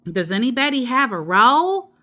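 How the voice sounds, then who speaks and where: A woman's voice: a little high, very animated, normal speed, bright, forceful tone, voice pushed up. A woman voicing a cheerful, literal-minded, folksy woman teacher in a children's storybook, full of exclamations. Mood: puzzled.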